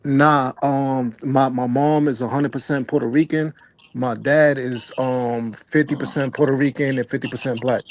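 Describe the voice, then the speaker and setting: A man's voice: medium pitch, fairly even intonation, moderate pace. A man from the United States on a casual live stream, talking laid-back and filling his talk with "you know what I'm saying.". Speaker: guest battle rapper.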